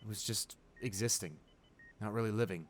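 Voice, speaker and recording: A man playing a wealthy, devoted client pleading at his comatose lover's bedside in an intimate ASMR roleplay, emotional and halting. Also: on the low side, slight background noise, natural voice. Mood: wistful, tender. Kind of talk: ASMR boyfriend roleplay, dramatic monologue.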